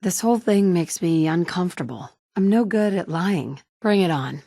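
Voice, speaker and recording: A woman's voice: lowish voice, expressive, moderate pace, creaky, warm tone, dry recording, studio quality. A blunt, sarcastic wasteland mother. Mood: steady. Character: determined young mother searching wasteland for kidnapped son. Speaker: player character voice actress. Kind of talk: video game voice lines. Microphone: studio mic.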